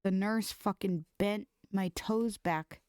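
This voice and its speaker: A woman's voice: medium pitch, slow, clear, resonant tone, dry recording. American woman podcaster, dry close sound. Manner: animated, profane, slangy storytelling. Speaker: podcast co-host. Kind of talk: casual chat, reading funny stories aloud.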